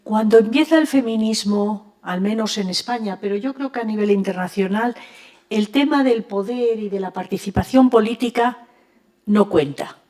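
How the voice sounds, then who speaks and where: A woman's voice: medium pitch, normal speed, a little mellow. An older woman from Spain, moderating an academic round table and talking conversationally with frequent restarts. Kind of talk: academic panel discussion. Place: university lecture hall.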